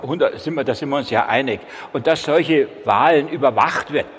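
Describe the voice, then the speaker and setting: A man panelist in a live debate, interjecting briskly to agree. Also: medium pitch, husky. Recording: long hall reverb, off-mic.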